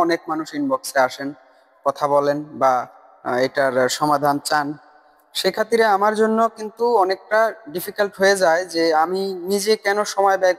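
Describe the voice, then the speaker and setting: A man giving a casual, insistent explanatory voice-over for a tutorial video. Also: a little high. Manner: insistent, repetitive, addressing viewers as brother. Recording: long reverb on the voice. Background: voice-over recorded separately from the screen capture.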